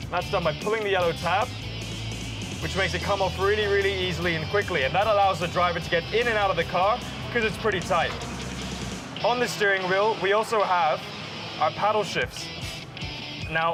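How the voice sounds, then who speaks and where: A man presenting an explanatory segment on racing equipment, step by step. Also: high voice. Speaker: presenter explaining race car technology. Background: music.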